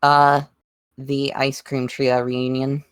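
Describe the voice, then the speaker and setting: A woman's voice: rumbling low, flat delivery, slow pace, clear, resonant tone, no room sound, clean studio sound. A woman chatting casually as one of the panel on a group podcast. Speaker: podcast panelist and fan voice actor.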